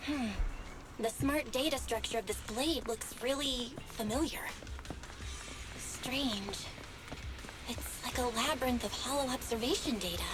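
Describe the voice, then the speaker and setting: A woman voicing a high-pitched, eager young hacker. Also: a little slow, long pauses, music in the background, lightly acted, poor audio. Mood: curious, focused. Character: helpful young female hacker guide, eager and technical. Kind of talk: video game voice acting.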